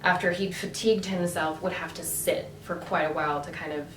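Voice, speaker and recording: Female vlogger, low-ish voice, rambling casual chat; roomy, distant sound. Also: steady tone, fairly quick, a bit bright, a little hiss or hum. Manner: chatty, many fillers and restarts. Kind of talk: casual storytelling vlog. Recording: echoey room from a distance with faint ambience.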